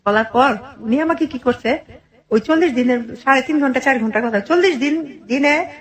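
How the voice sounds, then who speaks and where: A middle-aged woman with a fairly high voice and a Bangladeshi accent. She recounts her own life to an interviewer in earnest detail, often acting out what was said in reported speech. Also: moderate pace. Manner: earnest, detailed narration with quoted dialogue. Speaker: interview guest telling her life story. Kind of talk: personal storytelling in conversation.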